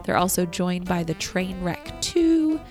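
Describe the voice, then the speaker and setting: A woman co-hosting a local radio show, chatting casually and enthusiastically through event shout-outs. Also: on the low side, very animated, unhurried, faint music behind. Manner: upbeat, enthusiastic.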